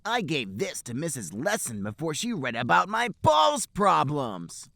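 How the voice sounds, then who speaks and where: A man's voice: fairly high pitch, huge pitch swings, measured pace, gravelly, sharp tone, no echo, voice pushed up, full character voice. A man voicing an anxious, dramatic teenage male cartoon hedgehog hero with stilted delivery. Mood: alarmed, indignant.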